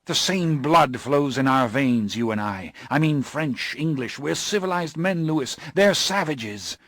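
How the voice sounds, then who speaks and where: A man voicing a desperate, drunken gentleman, pleading and bursting out in frantic, high-pitched cries. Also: expressive, measured pace, no room sound, exaggerated voice. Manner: pleading, rising to frantic outbursts.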